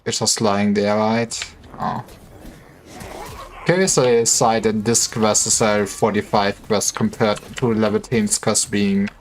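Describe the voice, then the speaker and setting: Low-key wry male game streamer. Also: steady tone, a little slow, slight background noise. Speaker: game livestreamer. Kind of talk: casual gameplay commentary.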